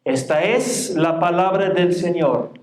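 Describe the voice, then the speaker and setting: A man's voice: high-pitched, slow pace. A man in his forties with a Mexican Spanish accent, preaching a sermon to a congregation and reading scripture aloud. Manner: reads scripture aloud in a raised, projecting voice; plain, friendly asides to the congregation. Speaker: pastor. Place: church sanctuary. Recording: echoey church room.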